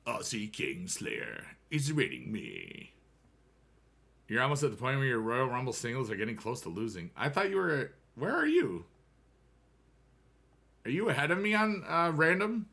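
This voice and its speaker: A man's voice: medium pitch, very animated, normal speed, vocal fry, long pauses, pushed and bright. An American man in his thirties chatting casually with viewers on a game stream, rambling and upbeat with playful rhymes.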